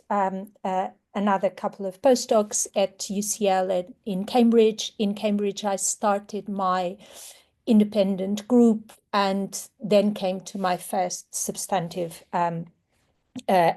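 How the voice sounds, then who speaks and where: A woman from Portugal, a former clinician turned researcher, giving a measured presentation talk on a video call. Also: medium pitch, darkish tone. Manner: measured, with fillers.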